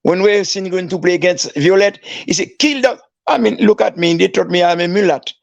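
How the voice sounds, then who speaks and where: An older man, a former coach, recalls his playing days in a rough, gravelly voice that sits a little high. His English is halting, full of restarts, with phrases circled back to. The sound is dry and close. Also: no room sound, muffled recording. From Haiti. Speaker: guest, former player and coach. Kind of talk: casual storytelling.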